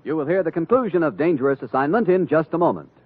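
A male announcer speaks formally on a muffled old radio recording. His fairly high voice moves in lively pitch swings, with music behind it. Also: fast talker.

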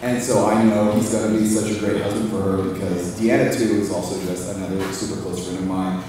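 Young man, casual best-friend toast; boomy echo, noisy reception room. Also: fairly even intonation, a little fast. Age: young adult. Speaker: groom's friend giving a toast. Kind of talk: casual wedding speech. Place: large indoor reception room with windows. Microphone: handheld microphone heard from across the room. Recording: very echoey room, distant, noisy.

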